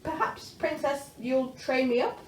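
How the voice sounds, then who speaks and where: A woman playing a gallant, self-important male knight from a storybook, loud and declamatory. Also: high-pitched, a little slow. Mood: keen. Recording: roomy, distant mic, faint noise.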